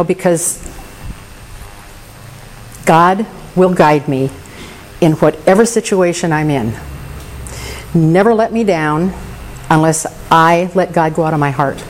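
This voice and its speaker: A woman's voice: lowish voice, unhurried, pauses often, a little hiss or hum, poor audio. Earnest woman sharing in recovery. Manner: candid, earnest, self-deprecating humor. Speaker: recovery meeting member. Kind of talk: personal recovery share.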